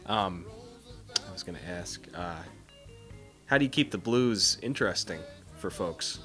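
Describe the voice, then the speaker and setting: A man's voice: low voice, lively intonation, a little slow, a bit bright, soft background music. A man interviewing a musician, asking his questions hesitantly with frequent restarts. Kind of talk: casual interview.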